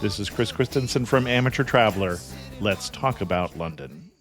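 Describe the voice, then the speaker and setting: A man's voice: on the low side, animated, normal speed, over loud music. A man hosting a travel interview podcast, asking his guest short, friendly questions. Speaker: podcast host. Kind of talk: casual interview.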